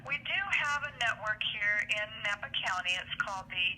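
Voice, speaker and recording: Woman, housing official, phone call, halting speech, sharp bright tone, light hiss. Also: mid-range voice. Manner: halting, many fillers and restarts. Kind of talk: phone call explaining services. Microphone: telephone. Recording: hissy phone line.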